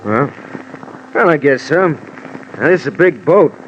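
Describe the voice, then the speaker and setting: An actor playing a gruff, terse, money-minded charter boat captain in an old radio drama. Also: male voice, a little high, lively intonation, a little slow. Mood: gruff, cagey. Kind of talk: 1950s radio drama acting. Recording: dull old radio, noisy background.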